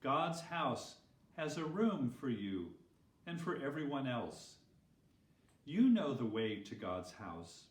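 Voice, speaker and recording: A man voicing a gentle, patient, reassuring male teacher in a children's Bible story, explaining kindly. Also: mid-range voice, sing-song, slow pace. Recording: roomy echo, distant microphone.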